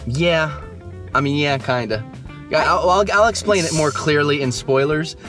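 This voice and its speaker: A man's voice: a little high, normal speed, a bit bright, faint music behind. A young man giving a casual movie review just after the screening, rambling and hesitant with many fillers and restarts. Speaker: movie reviewer, channel host. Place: just back from the theater, at home.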